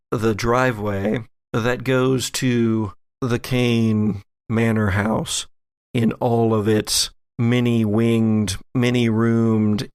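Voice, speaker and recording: A man narrating a tabletop game as game master, setting scenes cinematically in deliberate short phrases. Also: medium pitch, vocal fry, clear, resonant tone, dry recording, studio quality. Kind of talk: descriptive improvised narration for audio-drama tabletop game.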